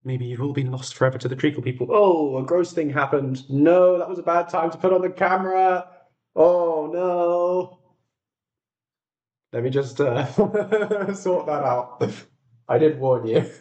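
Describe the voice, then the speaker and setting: A man's voice: a little high, unhurried, a little mellow, muffled recording. A man with a runny nose hosting a casual livestream, talking in a chatty, self-deprecating way. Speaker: livestream host and audio drama maker.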